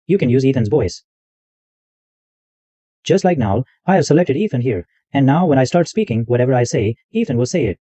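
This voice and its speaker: Lively man explaining software step by step. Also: mid-range voice, a little fast, no room sound. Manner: explains step by step, repeats himself. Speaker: tech tutorial host. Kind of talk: screen-recorded software walkthrough.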